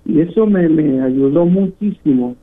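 A middle-aged man with a northern Argentine accent, giving halting testimony as a guest caller over the phone. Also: fairly high pitch. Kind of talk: personal testimony in an interview. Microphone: phone call. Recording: tinny phone line, muffled and rough.

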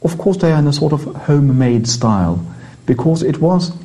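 A man's voice: on the high side, animated, normal speed, warm tone. A man with a Norwegian accent, a priest, chatting in an interview and often repeating words as he explains.

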